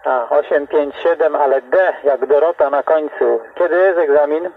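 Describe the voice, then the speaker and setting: High-pitched man on tinny CB radio. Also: steady tone, normal speed. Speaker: CB radio operator. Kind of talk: casual radio chat. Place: heard through an external radio speaker. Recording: tinny, narrow CB radio transmission.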